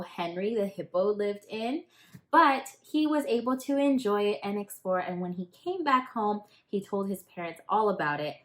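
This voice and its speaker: A woman teacher reading a storybook and talking to young children in an encouraging way, with simple phrasing. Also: mid-range voice. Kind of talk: storybook read-aloud and gentle address to children.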